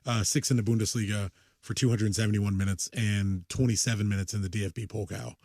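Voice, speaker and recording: A man's voice: fairly low pitch, steady tone, fast talker, mellow, dry recording, clean studio sound. A man in his thirties co-hosting a casual sports podcast, rambling and self-interrupting, swearing casually. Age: 30s. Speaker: podcast co-host.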